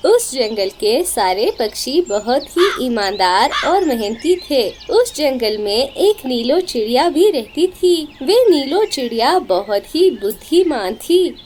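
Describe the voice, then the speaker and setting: High-pitched woman narrating a children's story. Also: very animated, slow, few pauses, a little mellow, slight background noise.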